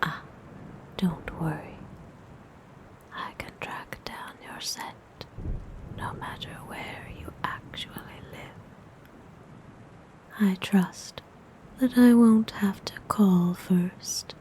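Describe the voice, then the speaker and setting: A woman voicing a haughty, seductive female vampire in a breathy, teasing whisper for an ASMR roleplay. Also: mid-range voice, poor audio. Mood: haughty, indulgent. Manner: slow, haughty, teasing, formal wording.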